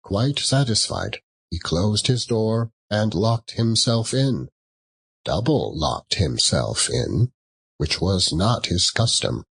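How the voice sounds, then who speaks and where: A man narrating a classic story as an audiobook, theatrical, with dramatic and deliberate phrasing. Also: on the low side, big pitch swings, creaky, fairly bright tone, breathy edge.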